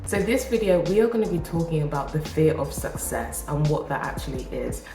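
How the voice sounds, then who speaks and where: A woman talking to camera as a self-improvement vlogger, encouraging and casual, her voice nasal and groggy from a cold. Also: low voice. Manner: earnest, encouraging, casual with restarts. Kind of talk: motivational talk to camera. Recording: roomy echo with music behind. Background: background music.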